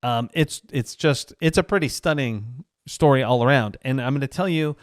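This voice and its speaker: A man presents a review in a casual, off-the-cuff style. His voice is clean and smooth, recorded dry with hardly any room sound. He speaks informally and with gushing enthusiasm, like a host sharing favourites. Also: medium pitch, normal speed, dry recording. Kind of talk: casual solo review talk.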